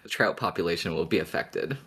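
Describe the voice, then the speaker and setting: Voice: deep voice, very animated, a little fast, creaky, a bit bright, husky. A podcast co-host chatting casually in a fan discussion.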